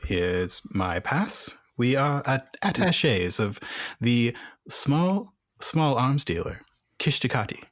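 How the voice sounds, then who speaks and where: A man's voice: mid-range voice, very animated, takes it slowly, dry recording, studio quality. A man playing a tabletop role-playing game, chatting casually as he describes what his character does.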